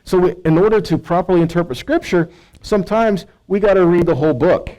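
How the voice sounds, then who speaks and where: A man's voice: high voice, brisk, dark tone, rough recording. An American man preaching in a conversational teaching style, often posing rhetorical questions and checking in with his listeners. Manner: conversational, rhetorical questions, frequent check-in tags like right and okay. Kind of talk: sermon-like Bible teaching, reading verses and explaining.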